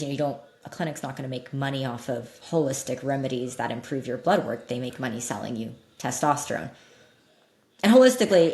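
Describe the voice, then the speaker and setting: Woman guest expert w a really low voice, candid and emphatic, echoey room. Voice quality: slightly deep voice that cracks when loud. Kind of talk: interview conversation, explaining. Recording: roomy echo.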